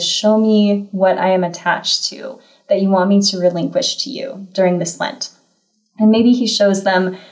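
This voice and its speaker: A woman's voice: mid-range voice, rough recording. Woman, podcast host, conversational, thoughtful, interview questions.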